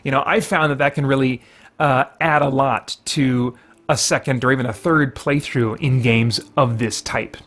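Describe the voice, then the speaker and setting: A man's voice: mid-range voice, steady tone, moderate pace, a bit bright. A man giving casual gameplay commentary as a host, explaining his reasoning and thinking aloud as he goes. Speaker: host of a gaming video series.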